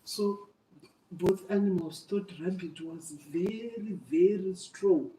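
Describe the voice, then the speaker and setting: A woman's voice: mid-range voice, slow pace, dark tone, slight background noise. An older woman telling a story to children in an emphatic, repetitive, teacherly way. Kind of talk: animated oral storytelling to children. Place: echoey room, away from the microphone. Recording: echoey room, distant microphone.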